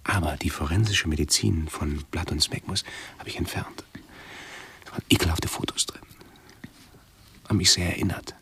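An actor in a radio drama playing an anxious, pedantic hypochondriac who speaks in a halting, brooding, fussily precise way. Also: male voice, very deep voice, vocal fry, fairly bright tone, full character voice. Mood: fussy, confiding. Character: anxious, pedantic male hypochondriac with obsessive self-observation. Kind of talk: radio drama monologue and dialogue.

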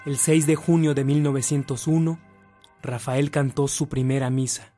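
A man narrates a scripted radio drama. His voice has a mellow, dark tone at an average pitch. Soft music runs underneath. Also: fairly even intonation, moderate pace.

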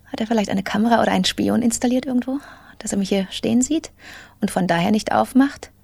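An actress playing a self-absorbed, steely professional woman in an intimate, controlled radio drama monologue, with a breathy voice and brittle confidence. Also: a little high, fast talker, a little mellow, no room sound. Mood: tense, self-satisfied.

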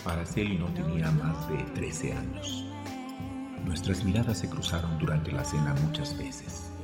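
A man's voice: extremely low pitch, very animated, normal speed. An actor with a Mexican accent playing an anxious, obsessed man of thirty-two who narrates a radio drama in the first person. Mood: brooding. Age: 30s. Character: obsessed, anxious 32-year-old man narrating his troubled thoughts. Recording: echoing long reverb, music underneath.

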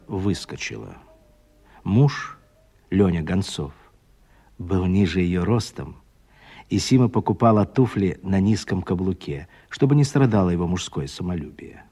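Male radio drama narrator: lowish pitch, very varied intonation, measured literary storytelling. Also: dark tone, slightly rough.

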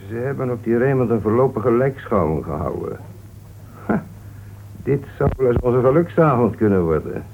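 A man playing a courteous, methodical police inspector in a Dutch radio play, musing calmly with small polite interjections. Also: mid-range voice, moderate pace, creaky. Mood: intrigued, deliberate. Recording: old radio recording, narrow tinny band, hiss.

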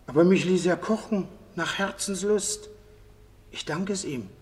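Radio play: grateful, proud cook, declamatory, high voice, cavernous echo. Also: male voice, measured pace, a little mellow. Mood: earnest pride. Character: proud, grateful male army cook among the dead. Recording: long hollow reverb.

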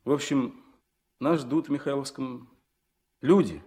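A young Komsomol organiser played by a male actor with a medium-pitched voice. He speaks earnestly and haltingly as he tries to persuade, in the style of an old Soviet radio play. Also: dark tone, lightly acted. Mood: urgent, pleading. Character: earnest, hesitant young Komsomol organiser pleading his cause. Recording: vintage 1970s mono radio recording.

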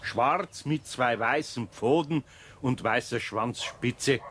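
A man's voice: medium pitch, slow, a bit bright, a little hiss or hum. A man playing a patient, good-natured older craftsman with a Bavarian accent and a mildly grumbling manner in a children's radio play. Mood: explaining. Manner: homely, soothing, mildly exasperated.

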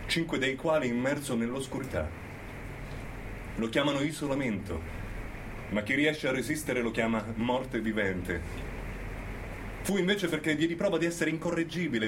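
A man's voice: mid-range voice, background noise, natural voice. An actor playing a bitter, educated, middle-aged male prisoner, brooding and insistent, in dramatic radio theatre.

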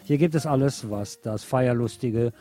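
Male late-night radio presenter, mid-range voice, reading literary monologue deliberately and poetically, with strong music bed. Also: mid-range voice. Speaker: radio host. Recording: music playing under the voice.

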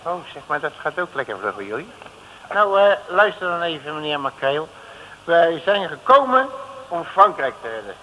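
An actor playing a cocky, slangy working-class detective in a 1950s Dutch radio comedy serial. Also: male voice, on the high side, very animated, normal speed, sharp tone. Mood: chatty. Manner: slangy, blunt, self-assured. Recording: noisy old radio recording.

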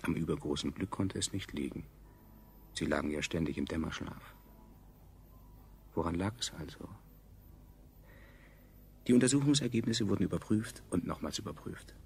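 A man acting as the measured, dryly ironic narrator of a 1970s German science fiction radio play. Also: low voice, gravelly, darkish tone. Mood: detached, ironic. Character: male narrator recounting a dystopian future, detached and ironic. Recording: 1970s radio recording, faint noise.

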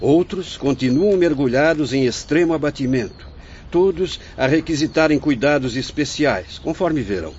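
An actor playing a kindly, venerable old spirit who runs an asylum, with a Brazilian accent, speaking in a measured, teacherly way in a radio drama. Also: male voice, fairly high pitch, expressive, darkish tone, a little hiss or hum, natural voice. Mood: grave, patient. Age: older. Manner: measured, benevolent, didactic. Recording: muffled audio with music.

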